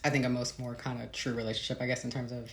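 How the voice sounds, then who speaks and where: An American woman explains her work in a conversational interview style. Her voice has a creaky edge and she often pauses to find words. The room is echoey, with faint noise behind her. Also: very deep voice, little pitch movement. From Midwestern United States. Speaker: author being interviewed. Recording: roomy echo, faint background noise.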